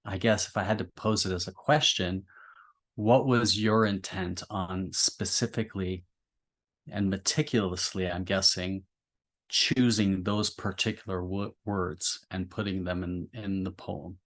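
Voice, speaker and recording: A man in a thoughtful literary discussion, speaking reflectively and feeling his way through roundabout, halting phrasing. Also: low voice, steady tone, fairly bright tone. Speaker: podcast discussion participant.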